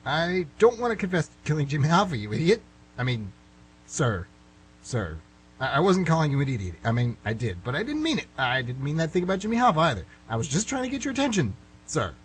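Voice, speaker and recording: A man's voice: on the high side, wildly swooping pitch, a little fast, rough recording. A man playing a frustrated middle-aged suspect in a radio play, pleading and backtracking as he rambles. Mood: flustered. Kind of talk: amateur radio play acting. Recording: hum and clear background noise.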